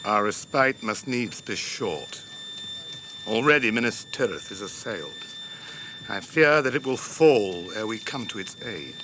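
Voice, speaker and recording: Anxious army leader, low voice, grave and urgent, old radio play, noisy behind. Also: male voice, lively intonation, a little slow. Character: anxious, urgent war leader weighed by duty. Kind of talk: 1980s radio drama acting. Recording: clear background noise, drama ambience.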